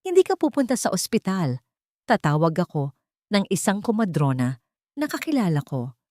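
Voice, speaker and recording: A woman's voice: medium pitch, big pitch swings, normal speed, a little mellow, no room sound, clean studio sound, close to an everyday voice. A woman voicing a cruel, commanding old rich mother-in-law in a dramatic story. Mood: cold, threatening. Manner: commanding, harsh, stern.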